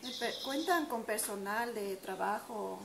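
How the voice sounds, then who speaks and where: A woman's voice: medium pitch, a little slow, vocal fry. A young adult woman, politely conducting a student interview. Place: covered brick patio at a winery. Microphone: camera a few metres away. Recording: echoey, distant camera mic, loud ambient noise.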